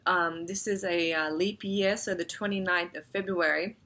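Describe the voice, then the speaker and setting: A woman with a somewhat low voice talks through a spiritual forecast in a soft, meandering way. She sounds a bit nasal and stuffy from a cold and often pauses on small fillers. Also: steady tone. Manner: gentle, rambling, with frequent fillers. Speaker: astrology forecast host. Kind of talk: casual spiritual forecast talk.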